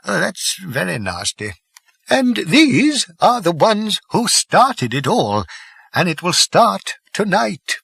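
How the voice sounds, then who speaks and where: A man's voice: sits high, huge pitch swings, slow, vocal fry, fairly bright tone, slightly rough, dry recording, pitched above the performer's own voice, full character voice. A man voicing an elderly, grave alien scholar in an audiobook, explaining things solemnly with mild apology and some hesitation. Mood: grave, regretful. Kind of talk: audiobook character voice.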